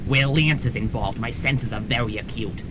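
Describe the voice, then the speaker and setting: A man's voice: moderate pace, natural voice. A man playing a brassy older aunt, noisy tape. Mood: grave, urgent. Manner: wisecracking, familiar, theatrical. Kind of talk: 1990s student radio comedy drama. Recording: rumbling, noisy college radio tape.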